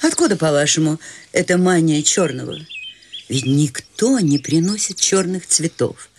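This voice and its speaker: A woman's voice: deep voice, lively intonation, moderate pace, slight background noise. A woman playing a free-spirited, chatty old countess in a radio play, coaxing and playful. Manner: playful, coaxing, sweeping swings of tone. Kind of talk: theatrical radio drama acting.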